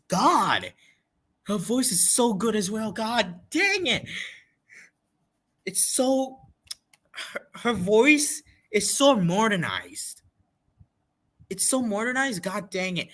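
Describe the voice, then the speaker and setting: A man hosting a casual livestream reaction, talking in an excitable, repetitive and emphatic way. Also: sits high. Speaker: livestream host reacting to a game event.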